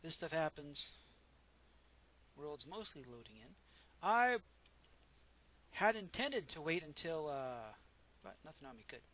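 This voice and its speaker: A soft-spoken man casually narrating his own gameplay, rambling and correcting himself as he goes, close to a desk microphone. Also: a little high, big pitch swings, measured pace, long pauses, poor audio. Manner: rambling, self-correcting, chatty. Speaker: gameplay commentator. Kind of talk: casual let's play commentary.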